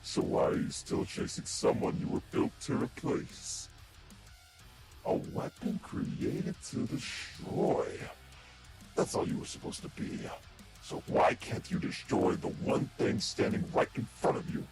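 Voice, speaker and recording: A man voicing a taunting monster villain for a game character reel, with a breathy, whispery rasp and a mocking, theatrical delivery. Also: deep voice, lively intonation. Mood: cold menace. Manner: slow, deliberate, mocking, theatrical. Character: sinister taunting male monster villain, menacing, patient and mocking. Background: prominent music.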